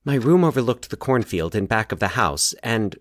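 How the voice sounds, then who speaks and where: A man voicing an anxious, halting man confessing a recurring nightmare in a horror audio story. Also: lowish voice, expressive, no echo, studio quality, close to an everyday voice. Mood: nervous, hesitant. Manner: nervous, halting, stumbling over his words. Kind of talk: dramatized horror audio fiction.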